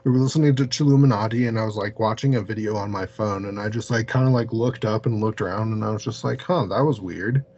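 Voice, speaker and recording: A man telling a story casually as an interview guest, halting and peppering his sentences with "like". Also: on the low side, a little mellow, lo-fi sound. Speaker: podcast guest, paranormal investigator.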